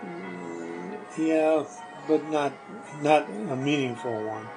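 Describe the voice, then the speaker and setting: A man's voice: a little high, slow pace, gravelly, music in the background. A man appearing as a podcast guest, answering questions with short, hesitant, dry replies.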